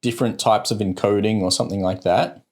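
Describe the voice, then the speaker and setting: Low-voiced man explaining tech news casually. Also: fairly even intonation, quick pace. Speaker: podcast co-host.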